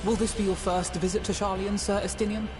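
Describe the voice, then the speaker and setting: A man's voice: sits high, little pitch movement, a little fast, lightly acted. An actor playing an earnest teenage noble boy in a game cutscene, courteous and formal. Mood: polite, awkward. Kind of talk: video game cutscene acting. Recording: music behind the voice.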